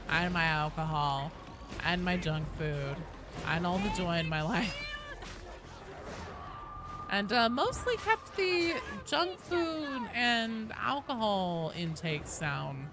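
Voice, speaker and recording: A woman hosting a game livestream, chatting casually with viewers in an animated, sweary, self-deprecating way. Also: on the low side. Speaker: livestream host. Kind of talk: casual game-stream chat, answering viewer comments. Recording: clear background noise behind voice.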